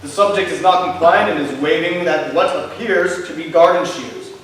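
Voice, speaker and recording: A man's voice: a little high, normal speed, a bit bright, lightly acted. A man playing a stern, by-the-book police officer with formal procedural speech in a staged play reading. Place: theater hall, recorded from the audience. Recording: roomy, distant stage recording with faint noise.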